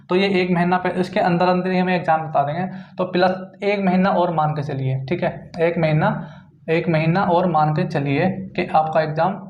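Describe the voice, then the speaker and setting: High-pitched man, echoey lo-fi recording. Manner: repetitive, punctuated with check-in tags. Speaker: exam-news presenter for job aspirants. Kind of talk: informal explainer addressed to viewers. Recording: dull lo-fi, roomy echo.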